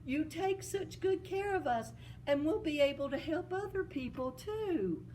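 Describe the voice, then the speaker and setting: A woman's voice: sits high, expressive, a little slow, darkish tone, recorded from a distance, slight background noise, pitched above the performer's own voice. A woman voicing a tender, grateful mother speaking to her son.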